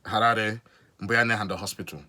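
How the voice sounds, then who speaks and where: Man, podcast host from Zimbabwe, low pitch, sharp forceful tone, casual incredulous commentary. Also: fairly even intonation, normal speed. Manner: incredulous, questioning, repeats points for emphasis.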